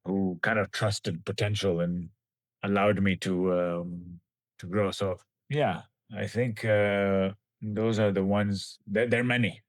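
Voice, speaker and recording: Low-voiced reflective man in his forties. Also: steady tone, no room sound. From Kenya. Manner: thoughtful, halting, often restarting phrases with light laughs. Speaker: scientist guest. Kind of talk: reflective interview answers.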